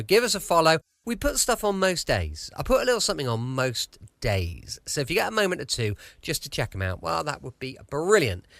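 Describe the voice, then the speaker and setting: A man's voice: fairly high pitch, a bit bright, dry recording. A man giving a casual, chatty podcast introduction, chirpy and given to rambling asides. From United Kingdom. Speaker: podcast host. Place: home studio.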